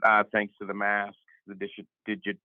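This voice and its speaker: A man with a voice raspy from a cold, giving halting welcome remarks as a health director. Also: lowish voice, completely flat delivery, no echo. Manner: halting, many fillers. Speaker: health director and board member. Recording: tinny phone line.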